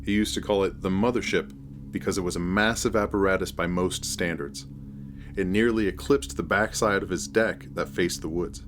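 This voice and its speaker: A man narrating a scripted first-person horror story in a measured, deliberate storytelling manner. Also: sits low, a little hiss or hum.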